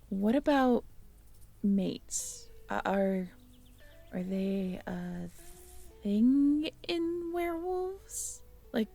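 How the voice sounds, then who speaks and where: A young woman in an intimate audio roleplay, talking softly to the listener, hesitant and stammering. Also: mid-range voice, vocal fry. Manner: hesitant, stammering, soft-spoken. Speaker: audio drama performer speaking to the listener.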